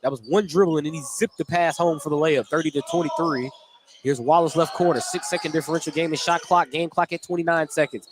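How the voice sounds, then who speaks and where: A man's voice: fairly high pitch, fairly even intonation, fast. A man giving live play-by-play radio commentary on a basketball game. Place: indoor basketball gym. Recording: noisy live gym sound.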